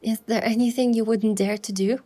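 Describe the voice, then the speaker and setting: A woman voicing an excitable, playful young heroine in love, in a romance game with first-person storytelling. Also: a little high, a little fast, natural voice. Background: music.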